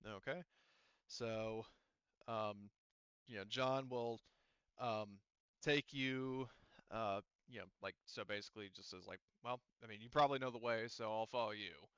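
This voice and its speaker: A man acts as game master for a tabletop game, improvising narration in a casual, halting way as he thinks aloud. His voice is clean and resonant, at a middling pitch. The sound is close and dry but a little dull. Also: a bit bright, smooth, dry recording.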